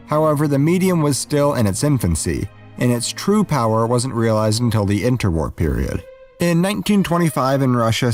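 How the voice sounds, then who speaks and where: A man's voice: mid-range voice, a little mellow. A man reading scripted educational narration as the host of a video essay. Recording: voice-over with music behind. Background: music.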